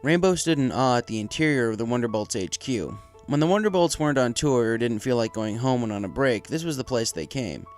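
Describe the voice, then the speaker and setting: A man's voice: mid-range voice, expressive, a little fast, hardly any pauses. A man reading scripted fan fiction as its narrator, with music behind his voice.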